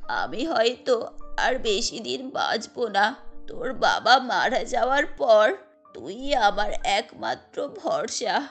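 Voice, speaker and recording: A woman voicing an ailing, lonely elderly village mother in a cartoon, pleading slowly. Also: a little high, sing-song, pitched below the performer's own voice, full character voice. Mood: weary, pleading. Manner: slow, pleading. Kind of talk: cartoon story dialogue. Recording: music under the voice.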